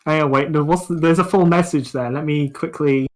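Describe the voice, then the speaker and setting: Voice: medium pitch, steady tone, moderate pace. A livestream co-host chatting casually in a chirpy, enthusiastic way. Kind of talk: casual chat.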